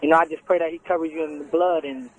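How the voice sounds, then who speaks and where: Earnest male caller in his twenties, high voice, halting speech, phone-line sound. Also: fairly even intonation. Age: 20s. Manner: halting, many restarts and fillers, earnest. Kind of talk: casual phone call.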